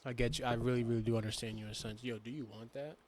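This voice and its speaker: A man's voice: mid-range voice, steady tone, a little mellow. A man hosting a podcast, chatting casually and loosely in slang with his guest. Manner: laid-back, slangy, quick interjections. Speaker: podcast host.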